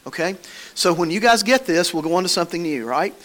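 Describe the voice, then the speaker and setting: Folksy Southern pastor preaching. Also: male voice, sits high, lively intonation, quick pace, a bit bright. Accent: Southern American. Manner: folksy, conversational preaching with homespun stories. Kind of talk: sermon. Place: church.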